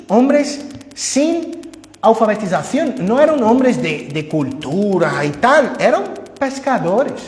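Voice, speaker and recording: A pastor whose first language is Portuguese gives an expository sermon in a church, teaching with conversational asides and personal examples. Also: male voice, high-pitched, a little mellow. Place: church sanctuary. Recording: echoey church hall with long reverb.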